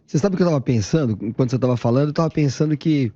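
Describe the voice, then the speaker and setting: Mellow brazilian guy on a panel, relaxed voice, casual advice, bit lo-fi. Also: medium pitch, very fast, dry recording. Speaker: panelist, adviser.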